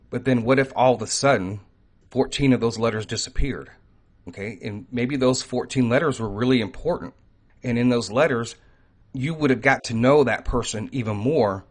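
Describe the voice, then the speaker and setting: Guy rambling about bible stuff, earnest, mid pitch, keeps leaning on words. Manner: earnest, rambling, pauses to stress key words. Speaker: host of a faith and crypto channel. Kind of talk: casual preaching monologue.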